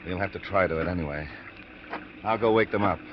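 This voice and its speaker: An actor playing a tough, steady American police lieutenant in a 1950s radio drama, gruff and businesslike. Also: male voice, low-pitched, a little fast, close to an everyday voice. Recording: muffled vintage radio, noisy background ambience.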